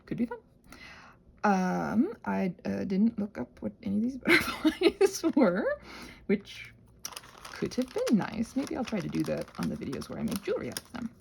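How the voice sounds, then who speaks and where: A woman's voice: mid-range voice, wildly swooping pitch, moderate pace, creaky, darkish tone, slight background noise. A woman chatting casually and quirkily as she hosts a rambling craft video, drifting playfully between asides. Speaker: host of a crafting channel. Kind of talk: casual rambling craft chat, ASMR channel.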